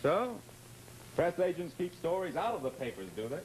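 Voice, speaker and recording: An actor playing an excitable, wisecracking newspaperman in an old radio drama, with slangy, incredulous patter. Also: on the high side, normal speed, low-quality recording. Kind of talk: 1940s radio drama acting. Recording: vintage radio recording, faint noise.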